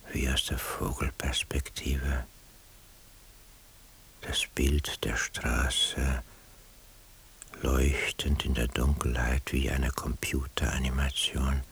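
A weary, obsessive man on surveillance thinks aloud in a radio play. His voice is extremely low and crackles with fry, breathy to a near whisper. He broods slowly and deliberately over each phrase. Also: a bit bright, heavily acted. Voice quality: breathy, gravelly, half-whispered. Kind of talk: radio drama interior monologue.

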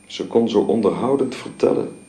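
A man acting in a radio drama as a sorrowful, gentlemanly husband, speaking haltingly and reflectively. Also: lowish voice, natural voice. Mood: wistful, brooding. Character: sorrowful husband of a dying woman, gentlemanly and brooding.

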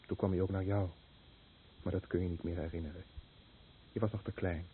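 An actor playing an anguished, guilt-ridden fisherman scarred by fallout, in a Dutch radio play with grave, measured delivery. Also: low-pitched, fairly even intonation, natural voice. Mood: sombre, tender. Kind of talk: Dutch radio play acting, dramatic narration. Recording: muffled, narrow-band old radio recording with noise.

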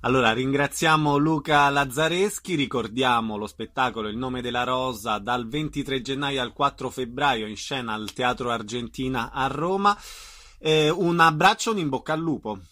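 A man with a medium-pitched voice hosts an arts programme. He announces events and asks his guests questions, addressing them informally and in a friendly manner. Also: normal speed, pushed and bright. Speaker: radio interviewer, host.

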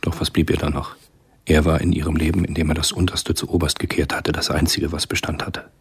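A man narrating a radio drama from a script in a measured, sober storytelling manner. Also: rumbling low, little pitch movement, creaky, husky.

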